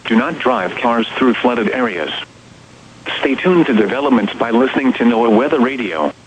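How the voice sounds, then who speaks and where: A synthetic man's voice with an American accent reads scripted weather bulletins as an automated radio announcer, formal, measured and impersonal. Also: mid-range voice, animated. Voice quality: synthetic text-to-speech voice. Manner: formal, measured, impersonal reading in short segments. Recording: dull, noisy weather radio broadcast.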